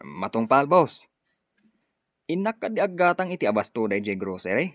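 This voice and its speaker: A man's voice: mid-range voice, very animated, moderate pace, no room sound. A man playing an obedient, uneasy henchman in a radio drama, deferential and speaking with an Ilocano accent. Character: obedient, uneasy henchman answering to his boss. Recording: dull, dry lo-fi studio sound.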